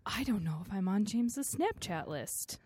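A woman co-hosting a casual tech podcast. She makes wry, deadpan jokes. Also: medium pitch, moderate pace, breathy edge, no echo, studio quality. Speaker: podcast co-host, senior producer. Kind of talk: casual chat.

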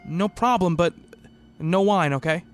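Man acting a higher-voiced, thoughtful researcher in a mystery. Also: measured pace, faint music behind. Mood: hesitant, concerned. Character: worried, rational male researcher narrating his own eerie mystery. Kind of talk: radio play dialogue and first-person narration.

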